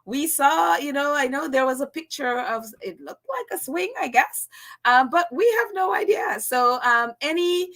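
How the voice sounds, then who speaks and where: A woman with a Caribbean accent hosts a casual livestream and reads out viewer comments. Her voice sits high and sounds bright and crisp. She laughs a lot and fills pauses with ums. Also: animated. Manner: chatty, laughs often, many fillers. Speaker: channel host reading live chat.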